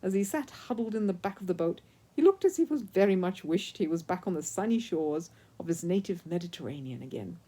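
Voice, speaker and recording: A woman reading a children's story aloud as an expressive narrator. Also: fairly low pitch, fairly quick. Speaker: storyteller, audiobook reader.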